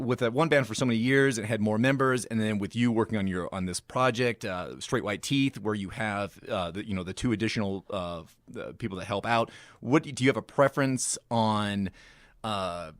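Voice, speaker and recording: Radio interviewer guy, lowish voice, dry sound, casual rambly questions that restart a lot. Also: no echo. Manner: loose, rambling questions with repeated starts.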